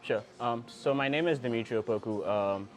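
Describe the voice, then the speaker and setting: A young man from Ghana, a startup founder, answering interview questions in a relaxed way with many pauses and ums. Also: mid-range voice, soft background music. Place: restaurant table, by the sea.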